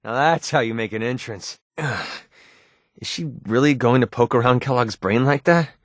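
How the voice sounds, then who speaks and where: An actor playing a wry, cocky young mercenary in a video game, with a sardonic, casual, colloquial delivery. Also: male voice, mid-range voice, normal speed, dry recording, clean studio sound, natural voice. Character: wry young male mercenary, cocky, wary, protective father. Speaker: video game companion character. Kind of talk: video game voice acting, casual banter lines.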